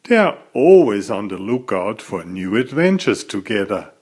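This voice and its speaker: A man reading a children's story aloud as its author, in an expressive, deliberate storytelling manner. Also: lowish voice, husky.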